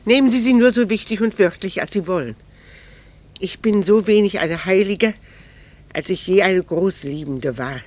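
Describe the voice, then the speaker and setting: An actress in a German radio drama playing a proud, candid, melancholy married woman, speaking in a measured, formal and earnest way. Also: mid-range voice, darkish tone, natural voice. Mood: quietly resolute.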